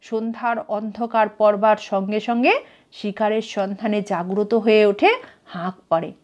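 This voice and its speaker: A woman with a Bengali accent reading an adventure story aloud in a measured, expressive storytelling manner. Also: medium pitch, muffled recording. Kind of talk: scripted dramatic narration of a story.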